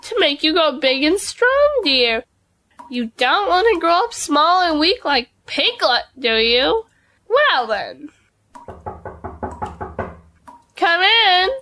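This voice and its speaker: Motherly kangaroo voiced by a woman for storybook audio play: high, lively, fussing, playfully stern. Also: takes it slowly, slight background noise, full character voice. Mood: brisk motherly. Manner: fussing, singsong, mock-innocent. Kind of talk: children's storybook audio drama.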